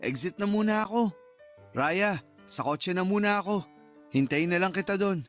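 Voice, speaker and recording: A man's voice: high voice, normal speed, fairly bright tone. An amused young Filipino friend in a radio drama. He ribs bluntly and jokily with a Filipino accent over a music bed. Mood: amused, resigned. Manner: jokey, blunt ribbing.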